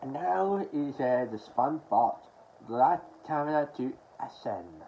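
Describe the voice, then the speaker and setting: A young adult man from Australia talking haltingly and with mumbled words in a casual show-and-tell to camera at home. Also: mid-range voice, big pitch swings. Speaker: collector showing his media haul. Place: small furnished room at home, indoors. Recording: dull lo-fi sound with background noise.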